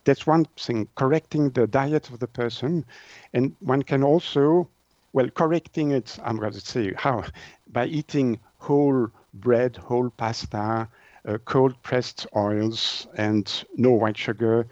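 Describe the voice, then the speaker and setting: Man, native French speaker from Switzerland, halting interview explanations, mid-pitched voice, dry muffled sound. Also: darkish tone, no echo. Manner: halting, searching for words in non-native English. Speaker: naturopath and author, interview guest.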